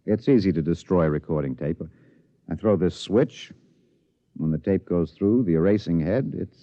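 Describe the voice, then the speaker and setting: A man playing an excited, obsessed radio reporter with an American accent, stammering and emphatic in 1950s radio drama style. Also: sits low, gravelly, dry recording, exaggerated voice. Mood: resigned. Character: excited radio newsman, agitated, obsessed with breaking a cover-up. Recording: dull vintage radio recording.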